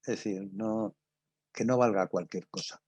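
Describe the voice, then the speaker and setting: An older man with an accent from Spain, giving an informal talk and answering questions, halting and emphatic, often repeating himself, with a husky voice. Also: on the low side, steady tone, darkish tone, no echo, highs cut off. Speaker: radio drama professor and guest speaker.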